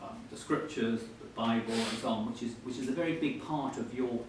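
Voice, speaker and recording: Man, interviewer, mid-pitched voice, polite, halting, heavy room echo, distant microphone. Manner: hesitant, polite, halting phrasing. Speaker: interviewer at a book event. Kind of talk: conversational questioning before an audience. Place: indoor event hall. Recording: echoey hall, distant mic, faint noise.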